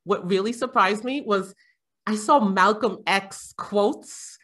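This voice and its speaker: A woman's voice: medium pitch, slow, a bit bright. A middle-aged woman from Jamaica chatting casually as a panel guest, rambling with frequent fillers and laughs. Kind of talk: casual conversation.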